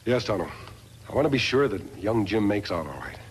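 An actor playing a steadfast, noble western hero in a 1950s radio drama, measured, earnest and authoritative. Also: male voice, lowish voice, very animated, faint music behind. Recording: noisy vintage 1950s radio recording.

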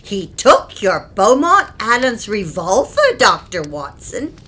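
A woman's voice: medium pitch, big pitch swings, slow pace, fairly bright tone. A woman playing a tart, chatty Victorian housekeeper in an audio drama, indignant and colourful in her phrasing. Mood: fussy, gossipy. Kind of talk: period audio drama acting.

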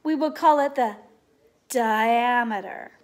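A woman voicing a commanding, fair-minded storybook king with declamatory, measured proclamations to his knights. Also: on the high side. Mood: proud.

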